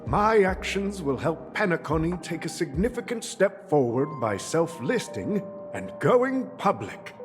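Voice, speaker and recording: Grandiose old tycoon, swooping voice. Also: male voice, on the high side, big pitch swings, unhurried, long reverb tail, over music. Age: older. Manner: grandiose, chuckling, condescending. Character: shrewd, jovial old male family boss and tycoon. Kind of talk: theatrical video game acting.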